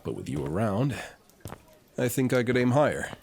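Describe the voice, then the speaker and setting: A man playing a suave, teasing, flirtatious military officer and alchemist in an intimate roleplay audio, speaking smoothly. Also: medium pitch, sing-song, a little mellow, slight background noise, pitched above the performer's own voice. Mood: flirtatious, playful. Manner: smooth, unhurried, playfully teasing.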